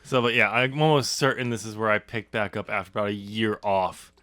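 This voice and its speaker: Male podcast host, bright sharp voice, rambling unscripted chat with stumbling restarts. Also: on the low side, fairly even intonation. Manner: halting, rambling, self-correcting with stammered restarts. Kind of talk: casual unscripted discussion.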